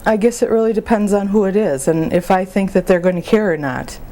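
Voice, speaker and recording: A woman playing a grieving young mother in a support phone conversation, speaking haltingly and plainly about her feelings. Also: mid-range voice, steady tone, warm tone, a little hiss or hum, natural voice. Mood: sad, hesitant. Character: grieving young mother, sad, exhausted, openly hurting. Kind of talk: role-played counseling phone conversation.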